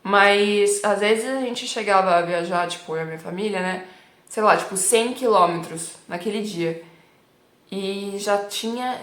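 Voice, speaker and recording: São paulo accent woman teaching, chatty and emphatic, mid pitch, echoey room. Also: a bit bright. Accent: São Paulo Brazilian Portuguese. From Brazil. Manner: chatty and emphatic, frequent "né" and "tipo" tags. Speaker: Italian teacher. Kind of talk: casual teaching vlog. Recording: roomy echo.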